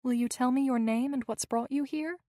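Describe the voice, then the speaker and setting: A kind, steady young woman, as a female narrator reads her, soft and reassuring in tone. Her voice is fairly high and close, with no room around it. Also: brisk, no echo, lightly acted. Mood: gentle. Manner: gentle, reassuring. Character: young woman, compassionate and resolute caretaker.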